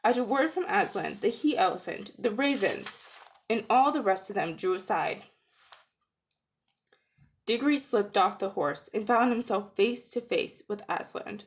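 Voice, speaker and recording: A woman narrating a children's story as a dramatic reading, expressive and deliberate. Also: medium pitch. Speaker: audiobook narrator.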